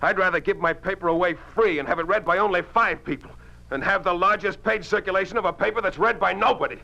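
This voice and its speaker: An actor playing a principled, outspoken newspaper editor in a 1950s radio drama, with an emphatic, oratorical American delivery. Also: male voice, high voice, fast, vocal fry, bright, forceful tone, exaggerated voice. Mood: bitter, impassioned. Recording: dull lo-fi 1950s radio recording.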